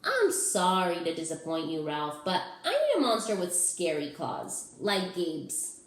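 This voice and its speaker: A woman's voice: fairly low pitch, wildly swooping pitch, unhurried, echoey room. A woman voicing a frank, picky child who questions things bluntly, in a storybook reading. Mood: questioning. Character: picky, blunt child wanting a scary monster.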